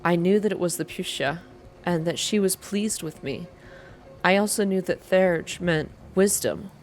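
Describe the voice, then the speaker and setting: A woman reading first-person story narration aloud in a soft, hushed, measured ASMR style. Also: on the low side, a little hiss or hum. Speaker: narrator reading a visual novel game aloud.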